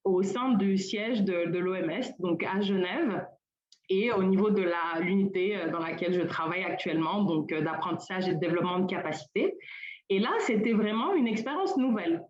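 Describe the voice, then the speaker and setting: A woman's voice: mid-range voice, a little mellow. Young woman speaking hesitantly over a roomy call. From Senegal. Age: young adult. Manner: hesitant, with many fillers and restarts. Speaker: colloquium panelist. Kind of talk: informal conference talk. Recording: roomy, dull lo-fi call audio.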